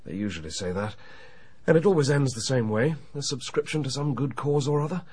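An actor playing a genial, urbane, well-to-do uncle in a radio drama, dryly weary. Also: male voice, mid-range voice, expressive, a little fast, a little mellow, slightly rough. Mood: dryly amused. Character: genial, dry, well-to-do English uncle, middle-aged or older.

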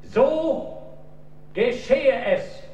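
An actor playing a solemn imperial court official in a radio drama, formally proclaiming ceremonies in measured phrases. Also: male voice, extremely high pitch, lo-fi sound, full character voice. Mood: solemn, ceremonial. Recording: roomy, distant, with loud music.

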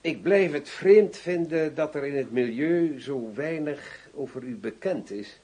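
An actor playing a cynical, smoothly menacing ex-general turned arms dealer in a radio drama, husky and formal in his delivery. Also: fairly high pitch, expressive, unhurried, dark tone. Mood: coolly threatening.

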